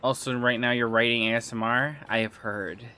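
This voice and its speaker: Male streamer, rambling and chatty, bright tense tone, a touch phlegmy; soft game music underneath. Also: mid-range voice, steady tone, moderate pace. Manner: rambling, trailing off and restarting, chatty with viewers. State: slightly phlegmy throat. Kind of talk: casual livestream chat while gaming.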